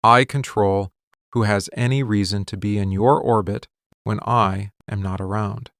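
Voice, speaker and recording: A man acts out a dangerous, obsessive mafia boss for a boyfriend ASMR roleplay. His low voice crackles with gravel and stays in a narrow, controlled range. He speaks slowly and deliberately, commanding and possessive, with an intense air of menace. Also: steady tone, a bit bright, no room sound, clean studio sound. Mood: controlling, intense. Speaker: ASMR voice actor.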